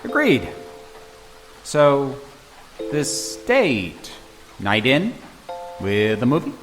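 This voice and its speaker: A woman playing an excitable, flustered but plucky young technician in a fan audio drama. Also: a bass voice, drawn-out words, music in the background, full character voice, rough recording. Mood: dry, relaxed.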